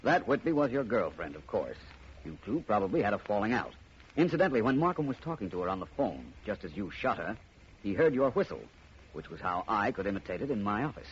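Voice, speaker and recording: An actor playing a suave, cool-headed amateur detective, speaking in a smooth, assured manner in 1940s radio acting. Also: male voice, lowish voice, very animated, fast talker, heavily acted. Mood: explaining. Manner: smooth, assured, explaining with relish. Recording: muffled old radio broadcast, faint hiss.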